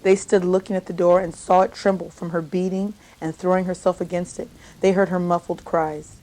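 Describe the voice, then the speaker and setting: Low-voiced woman reading a story aloud. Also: poor audio. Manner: expressive, measured literary reading. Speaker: audiobook narrator. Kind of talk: dramatic story read-aloud.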